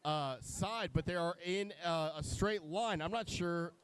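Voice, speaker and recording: A man with a notably high voice commentates on a robotics match at a live competition. He explains rules and wiring in a technical, explanatory way, often pausing on fillers mid-sentence. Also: a bit bright, clean tone, dry recording. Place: competition venue.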